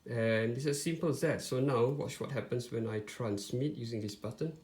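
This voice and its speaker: A man presents a hands-on tutorial in an informal style. His voice sits at an average pitch. He explains step by step, somewhat haltingly, with plenty of pauses. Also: darkish tone. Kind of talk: informal step-by-step product demonstration.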